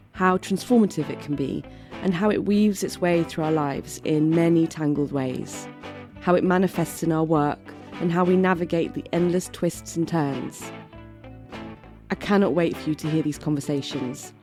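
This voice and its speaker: A woman with a West Yorkshire accent, hosting a podcast and reading her introduction in a soft, measured, earnest way. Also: lowish voice. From England. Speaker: podcast host. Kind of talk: scripted podcast intro, then interview.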